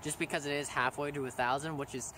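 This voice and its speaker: A teenage boy vlogging to his viewers, rambling with frequent ums and pauses. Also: fairly high pitch, fairly even intonation, sharp tone, slight background noise. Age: teens. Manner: rambling, hesitant, many ums. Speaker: small YouTuber thanking subscribers. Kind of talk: casual vlog to camera.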